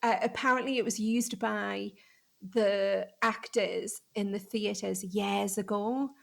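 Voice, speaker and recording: A woman's voice: medium pitch, fairly even intonation, takes it slowly, creaky. A woman hosting a podcast, chatty and exclamatory in casual conversation. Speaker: podcast host.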